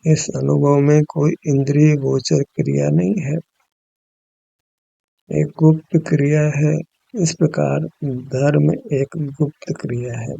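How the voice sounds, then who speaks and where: A man leading a guided meditation as a spiritual teacher, in a measured, instructive way, on a dull, muffled recording. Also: on the high side, little pitch movement, vocal fry, warm tone, dry recording.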